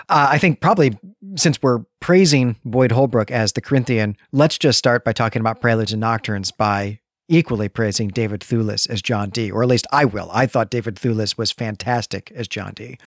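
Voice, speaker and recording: A man speaks as a podcast co-host in a casual review discussion. His medium-pitched voice is measured yet enthusiastic, often qualifying itself with small asides, and the sound is close and dry. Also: no echo.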